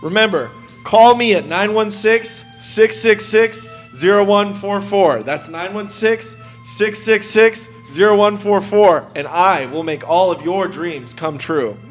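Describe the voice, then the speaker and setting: A man putting on a high-pitched, brash ad pitchman. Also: unhurried, soft background music. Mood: pushy, upbeat. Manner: punchy, emphatic salesman delivery. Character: brash, shady male house-buying ad pitchman. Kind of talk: parody radio commercial.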